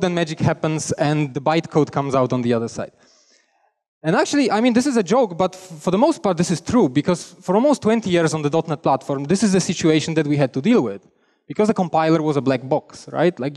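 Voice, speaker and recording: A man's voice: a little high, lively intonation, fairly quick, a little mellow. Polish-accented man speaking in a reverberant hall. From Poland. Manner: chatty, self-deprecating, with frequent restarts. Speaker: conference speaker. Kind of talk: technical conference talk. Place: large conference hall stage. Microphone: headset mic.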